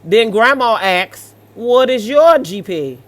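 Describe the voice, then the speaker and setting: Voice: medium pitch, animated, a little slow, a bit bright. Sassy American commentator, blunt and colloquial. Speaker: host reading and reacting to online stories. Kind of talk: reading stories aloud with animated off-the-cuff commentary.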